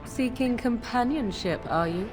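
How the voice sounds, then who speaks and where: A woman's voice: fairly high pitch, animated, unhurried, music in the background. An actress playing a haughty, mocking swamp witch in a video game, with archaic, teasing phrasing. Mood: sly, teasing. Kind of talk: video game character acting.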